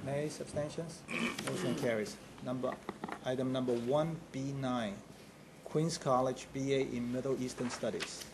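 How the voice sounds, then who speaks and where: A man chairing a board meeting, calling votes and items in brisk procedural phrases. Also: medium pitch, steady tone. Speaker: committee chair. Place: large conference room. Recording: noisy meeting room.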